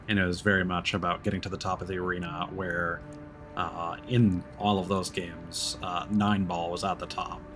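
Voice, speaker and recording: A man's voice: deep voice, pushed and bright. A man doing casual live game-stream commentary, thinking aloud in a rambling way.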